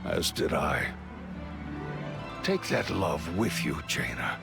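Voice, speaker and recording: Casting: noble male paladin in fantasy game, creaky voice, measured pace, formal counsel, over score. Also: a bass voice, sing-song, heavily acted. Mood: gentle counsel. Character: noble, solemn winged holy knight, gentle counsellor. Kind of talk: fantasy game cutscene acting. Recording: music behind the voice. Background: game score music.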